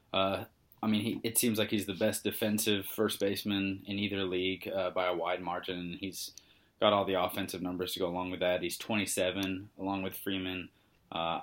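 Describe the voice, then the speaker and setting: Young male podcast co-host, very deep voice, monotone and easygoing, loose chatty delivery. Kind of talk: casual sports chat.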